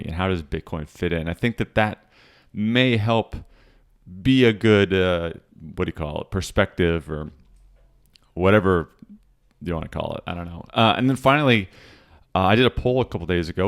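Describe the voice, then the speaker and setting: A man with a hoarse voice, hosting a casual market commentary show and rambling through charts with fillers and restarts. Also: sits low. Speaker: crypto trading show host.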